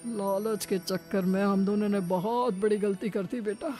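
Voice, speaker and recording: A woman's voice: medium pitch, fairly even intonation, moderate pace, music in the background, voice pushed down, close to an everyday voice. A woman voicing a greedy older father of a groom in a cartoon moral story, indignant and lamenting. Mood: rueful. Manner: remonstrating, lamenting. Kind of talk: animated moral story dialogue.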